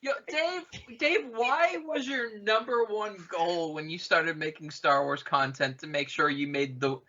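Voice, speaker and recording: A man's voice: high-pitched, measured pace, sharp tone, highs cut off. A man co-hosting a podcast in casual, comedic chat. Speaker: podcast co-host.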